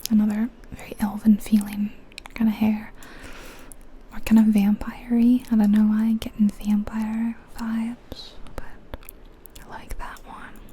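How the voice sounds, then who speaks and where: Softly whispering woman, ASMR style. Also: on the high side, slight background noise. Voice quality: breathy unvoiced whisper. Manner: gentle, chatty musing. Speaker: ASMR video host. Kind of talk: ASMR whispering, casual game commentary.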